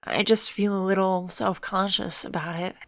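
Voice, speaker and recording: A woman's voice: medium pitch, fairly even intonation, moderate pace, no room sound, studio quality, lightly acted. A woman voicing a shy, self-conscious young adult who is a boy inside, speaking hesitantly and timidly. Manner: hesitant and timid, soft sheepish replies.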